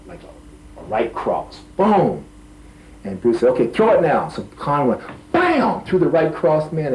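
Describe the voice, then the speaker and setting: A man giving an interview, an actor recalling a film shoot and acting out the fight with lively energy. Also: medium pitch, a little hiss or hum. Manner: lively, acts out the fight scene. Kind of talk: animated anecdote. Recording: roomy echo.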